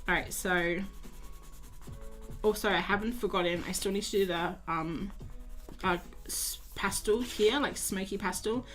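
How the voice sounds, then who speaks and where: A woman's voice: medium pitch, measured pace, fairly bright tone. Chatty woman streaming her painting. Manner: chatty, self-mocking, talks to viewers while drawing. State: tired, losing her voice. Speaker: art streamer. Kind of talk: casual live-stream chat while painting.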